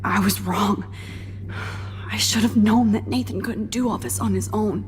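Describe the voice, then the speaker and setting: An actress playing a determined, caring young photography student held captive in a video game, speaking in a hushed, breathy, urgent way. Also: female voice, mid-range voice, fairly even intonation, a little slow, in a large hall, soft background music, natural voice. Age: young adult. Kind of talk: video game cutscene acting.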